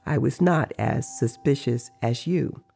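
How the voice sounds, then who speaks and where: A man's voice: medium pitch, measured pace, creaky, a little mellow, slightly rough, faint music behind. A man voicing a mischievous, teasing older male sorcerer in a dramatized audio story. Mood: mock doubtful. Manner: playful, teasing.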